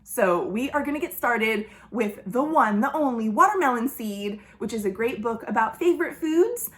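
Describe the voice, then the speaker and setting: A woman's voice: fairly high pitch, expressive, moderate pace, fairly bright tone. A woman hosting a storytime for young children, speaking expressively and directly to her young listeners. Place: indoors at home.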